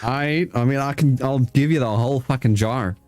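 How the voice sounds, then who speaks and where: A man's voice: mid-range voice, moderate pace, a little mellow, no echo, faint music behind. A man in his twenties from South Africa, an Afrikaans speaker, hosting a casual interview and telling anecdotes with casual swearing. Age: 20s. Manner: swears casually, tells anecdotes with playful sung asides. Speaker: host.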